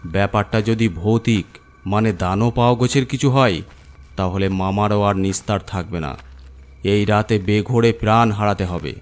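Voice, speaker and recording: Low-voiced man narrating a horror story. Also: normal speed.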